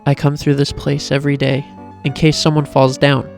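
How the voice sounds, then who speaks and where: A man playing a tall motherly female goat creature in a fan drama, soft and matronly, with a genteel, fond way of talking. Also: fairly high pitch, monotone, normal speed, gravelly. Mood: warm, welcoming. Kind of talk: fan fiction character acting. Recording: music behind the voice.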